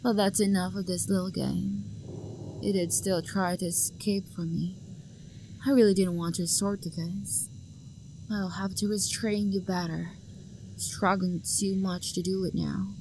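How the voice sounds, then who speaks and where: A woman's voice: mid-range voice, fairly even intonation, measured pace, darkish tone, a little hiss or hum, natural voice, low-quality recording. A young woman acting an obsessive, possessive lover who hunts for the one she adores, her voice sweet and menacing and often sinking to a whisper. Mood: hushed, sinister. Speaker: voice actor. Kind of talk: audio roleplay acting.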